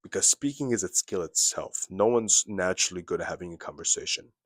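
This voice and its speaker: A young man with a very deep, low voice talks straight to the camera, giving casual advice. He often repeats phrases and checks in with a quick "okay?" The sound is dry and close, with no room echo. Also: animated, a bit bright. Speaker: self-improvement vlogger.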